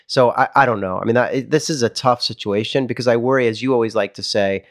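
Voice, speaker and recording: A man's voice: mid-range voice, fast talker, no echo, clean studio sound. A man co-hosting a podcast, talking casually and thinking aloud with fillers like "I mean" and "you know". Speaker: podcast co-host. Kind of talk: casual discussion.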